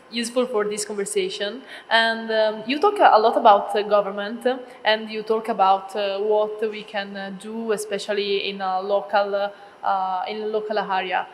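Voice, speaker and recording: A woman moderating a panel in a flat, even tone, pausing often on "uh" and "um" as she builds her questions. A long reverb fills the large ballroom, with a faint hiss underneath. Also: medium pitch. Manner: hesitant, many "uh" and "um" fillers.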